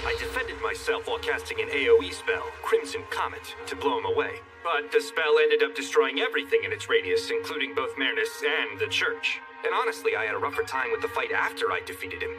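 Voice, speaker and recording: Casting: a smug, wry young animated hero. Expressive narration, slightly off-mic over clear music. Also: male voice, mid-range voice, fairly quick, hardly any pauses, distant mic, low-quality recording. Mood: wry narrating. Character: cocky sarcastic young male fantasy hero. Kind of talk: animated dub narration and banter.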